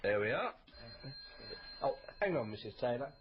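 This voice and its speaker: A man's voice: mid-range voice, big pitch swings, moderate pace, a little hiss or hum, natural voice. An actor as an obliging, chatty male baker. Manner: patient, homely, colloquial. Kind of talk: naturalistic radio drama acting. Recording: dull lo-fi radio recording.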